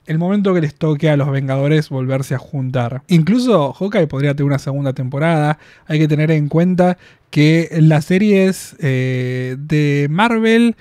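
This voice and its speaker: Young argentine guy on a boom mic, mellow slightly high voice, dry close sound, chatty, laughs a lot. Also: dry recording. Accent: Argentine Spanish. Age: 20s. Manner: chatty, laughs often, drawn-out fillers. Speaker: reaction video host. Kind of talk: casual spoken review. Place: small room in front of packed collectible shelves. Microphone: studio mic on boom arm, headphones on.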